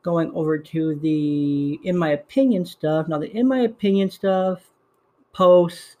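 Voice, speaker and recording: A man's voice: a little high, unhurried, darkish tone, highs cut off. A man in his twenties with a slight lisp hosting a casual solo podcast at home, upbeat and rambling, repeating himself. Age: 20s. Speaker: podcast host. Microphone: USB desktop microphone.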